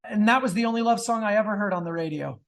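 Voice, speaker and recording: Man, middle-aged, high-pitched voice, casual interview talk, enthusiastic storyteller. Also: very fast, gravelly, a bit bright. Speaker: author and film producer, podcast guest.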